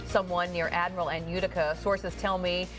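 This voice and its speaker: Woman anchor on the evening news, kinda low voice, calm steady read. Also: music in the background, rough recording. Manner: steady, measured delivery of breaking news. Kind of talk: live local newscast reading.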